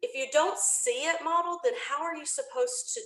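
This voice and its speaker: A woman in her forties chatting casually as an interview guest, talkative and quick to laugh. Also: sits high. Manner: chatty, animated storytelling, laughs easily. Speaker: podcast creator, interview guest. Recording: roomy, echoing room sound.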